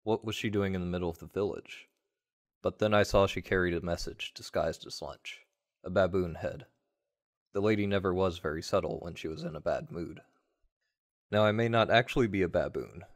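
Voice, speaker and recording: A man with a low-pitched voice narrates a dramatic first-person tale. His delivery is measured and wry, in the manner of a radio play. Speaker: narrator of an audio story.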